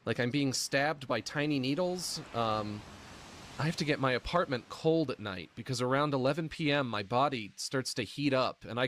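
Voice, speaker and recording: A man speaking haltingly with a squeaky, mucus-filled voice and shortness of breath, often slowing down mid-sentence, with dry self-mocking humor. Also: fairly bright tone, slight background noise. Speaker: video creator describing his illness. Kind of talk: personal vlog monologue.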